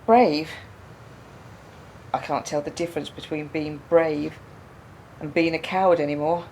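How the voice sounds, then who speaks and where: An actress playing a quiet, pensive woman burdened by doubt in a radio play, speaking in a reflective, halting way. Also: low-pitched, steady tone, a little hiss or hum, poor audio. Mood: pensive, sad. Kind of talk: radio play dialogue, naturalistic.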